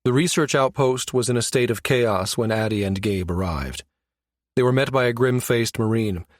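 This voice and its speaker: A man's voice: mid-range voice, moderate pace, no room sound, studio quality. A man reading scripted narration from a science fiction novel as an audiobook narrator.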